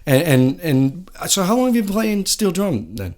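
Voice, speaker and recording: A man's voice: sits high, big pitch swings, moderate pace, darkish tone. A man hosting a casual music interview, friendly and rambling, with many uhs. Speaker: show host.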